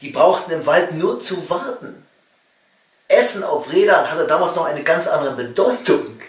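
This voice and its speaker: A middle-aged cabaret man from East Germany delivers a satirical monologue in a fairly high voice. He lands lines with wry emphasis and pauses. The sound is roomy and picked up from some distance away in a small room. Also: lively intonation. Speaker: cabaret comedian and author. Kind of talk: satirical comedy monologue read from a text. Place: small home study, indoors. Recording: roomy echo, distant microphone.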